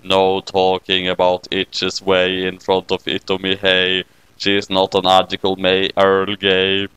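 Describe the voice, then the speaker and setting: Brief: smug magical critter, played by a man, low-pitched, drawn-out deadpan taunts for comic storytelling. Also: clear, resonant tone, dry recording, rough recording. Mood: deadpan disdain. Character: smug, deadpan little magical creature, male.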